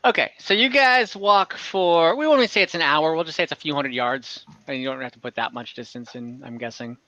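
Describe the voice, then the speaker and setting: A man narrating an online tabletop game as game master, improvising haltingly with casual asides to the players. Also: a little high, pushed and bright, dull audio.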